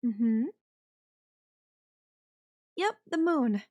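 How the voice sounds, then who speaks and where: Woman acting a slow, whimsical, airy goddess. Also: a little high, lively intonation, a little mellow. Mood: playful, friendly. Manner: slow, breathy musing with long dramatic pauses. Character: whimsical, airy, flippant yandere goddess watching Earth die. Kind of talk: ASMR audio roleplay acting.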